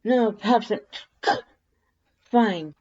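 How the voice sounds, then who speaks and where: A reader voicing a friendly, slightly awkward homeowner, casual, hesitant and gently teasing, in a fan fiction audiobook. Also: mid-range voice, very slow, breathy edge, lo-fi sound, voice pushed up. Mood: sneezy, flustered.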